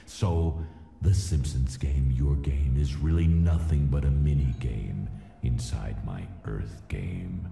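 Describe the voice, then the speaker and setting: A cartoon God who is really a self-absorbed slacker gamer. The voice is very deep and gravelly, roomy with echo, yet he talks in loose, casual slang. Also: slow, mellow, full character voice. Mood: smug, casual. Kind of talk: cartoon video game voice acting. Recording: roomy echo with music behind.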